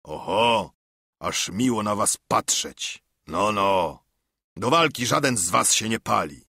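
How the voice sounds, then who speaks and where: A man's voice: on the low side, lively intonation, slow, vocal fry, sharp tone, no echo, studio quality, exaggerated voice. A man voicing a commanding, scornful older pirate captain and ship's cook in a storybook reading, with a swaggering, taunting way of talking. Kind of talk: audiobook character acting.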